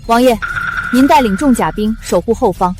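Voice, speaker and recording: A woman's voice: a little high, animated, moderate pace, lightly acted. An actress playing a proud, fierce young female army general in a costume war drama. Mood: formal, resolute. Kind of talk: period war drama acting. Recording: dramatic music under the dialogue.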